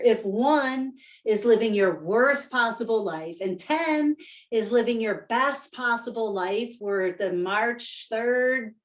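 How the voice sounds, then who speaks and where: A woman presenting a live online workshop, upbeat and enthusiastic, laughing often as she talks over a video call. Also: a little high. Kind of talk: live webinar talk.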